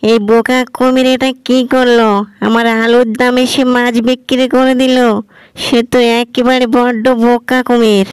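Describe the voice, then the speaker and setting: A woman's voice: fairly high pitch, flat delivery, normal speed, smooth, no room sound, lightly acted. A woman voicing a sad, poor, gullible cartoon fox who complains in a plaintive way. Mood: upset. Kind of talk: cartoon animal dialogue.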